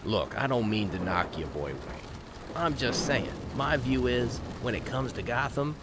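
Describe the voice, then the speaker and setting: An actor playing a gruff, cynical, talkative police detective in an audio drama, sardonic and full of streetwise slang. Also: male voice, mid-range voice, expressive, unhurried, heavy background noise, lightly acted. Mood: ominous, musing. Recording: music behind the voice.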